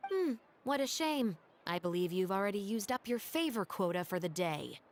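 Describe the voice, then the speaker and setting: Stern young female investigator played by an actress, game character, lively intonation, formal, reproachful, noisy ambience. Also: mid-range voice, moderate pace, lightly acted. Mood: mock regretful. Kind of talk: video game voice acting.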